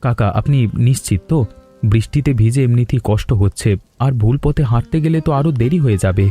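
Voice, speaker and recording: A man's voice: mid-range voice, expressive, fairly quick, warm tone, faint music behind, lightly acted. A man voicing a polite, patient stranded traveller in a cartoon story, speaking courteously. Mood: polite, weary.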